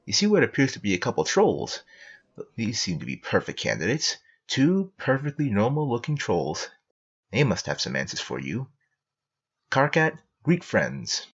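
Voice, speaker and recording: A man playing a playful second-person narrator, reading scripted comic narration in a husky voice. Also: mid-range voice, huge pitch swings, normal speed, dull audio, heavily acted. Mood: prodding.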